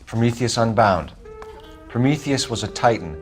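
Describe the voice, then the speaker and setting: An actor playing a clever young police detective in a game, with a clipped, formal, authoritative manner. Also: male voice, mid-range voice, animated, normal speed, faint music behind, lightly acted. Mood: composed, thoughtful. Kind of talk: dramatic game dialogue.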